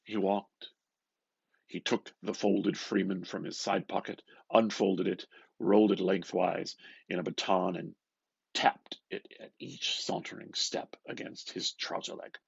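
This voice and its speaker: A man gives an expressive, theatrical reading of a literary novel, moving between murmured asides and louder phrases. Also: deep voice, measured pace, gravelly, slightly rough, no echo, dull audio. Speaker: reader of a novel.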